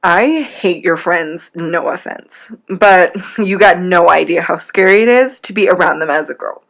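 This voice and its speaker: A woman's voice: fairly low pitch, unhurried, vocal fry. A woman playing a frank teenage girlfriend in an audio drama. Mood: uneasy. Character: frank teenage girlfriend, affectionate but easily alarmed.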